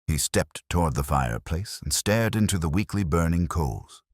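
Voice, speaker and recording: A man narrating a scripted story in a measured, literary, dramatic manner. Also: low voice, lively intonation, dry recording, studio quality.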